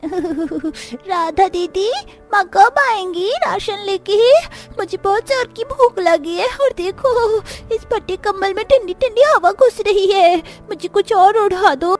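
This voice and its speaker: A woman's voice: extremely high pitch, slow pace, voice pushed up. A woman voicing a whiny, hungry little girl, a younger sister in a cartoon story. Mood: whining.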